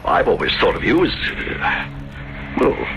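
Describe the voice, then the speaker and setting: Smooth, theatrical, wildly swooping middle-aged schemer. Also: male voice, fairly low pitch, wildly swooping pitch, a little slow, sharp tone, a little hiss or hum, full character voice. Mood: coaxing. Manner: theatrical, courtly, hesitating for effect. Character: smooth, scheming middle-aged Italian gentleman hiding a secret. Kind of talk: 1970s radio drama acting. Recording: dull vintage radio broadcast, music behind.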